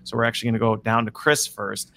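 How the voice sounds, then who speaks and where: A man's voice: medium pitch, steady tone, fast talker, creaky, pushed and bright, slight background noise. Upbeat male webinar host. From American Midwest. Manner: upbeat, repeats okay and all right often. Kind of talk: casual live webinar chat. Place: video call.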